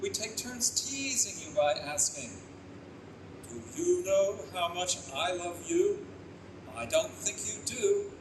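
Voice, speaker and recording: A man acting an anguished, wisecracking father reborn as a baby, excitable and theatrical in a live old-time radio play. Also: sits high, takes it slowly, exaggerated voice. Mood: tender, wistful. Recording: echoey live stage, distant mic, clear noise.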